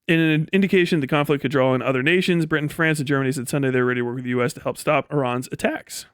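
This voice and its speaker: A man's voice: on the high side, very fast, smooth, dry recording, studio quality. A man giving off-the-cuff political commentary as a live streamer, talking in a blunt, profane, sardonic and dismissive way.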